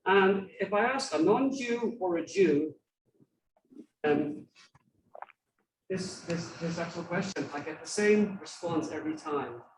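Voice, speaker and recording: A man's voice: high-pitched, lively intonation, slow pace, long pauses. An Irish man giving an informal lecture in a conversational way, repeating phrases for emphasis. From Ireland, grew up in Dublin. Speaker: guest lecturer. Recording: roomy, distant mic with faint noise.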